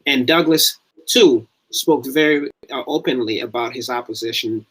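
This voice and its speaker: Hesitant academic man with a mid-pitched voice. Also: fairly bright tone, breathy edge, poor audio. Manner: hesitant, searching, with frequent pauses. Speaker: panelist, academic. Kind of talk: scholarly panel discussion.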